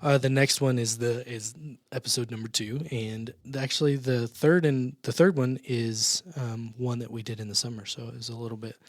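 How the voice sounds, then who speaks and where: A man hosts a small church podcast in a loose, friendly chat. He laughs often and trips over his words as he goes. His voice sits in a middle pitch and sounds close and dry. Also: steady tone, normal speed, few pauses, no room sound. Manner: chatty, laughs often, stumbles and restarts. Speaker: podcast host.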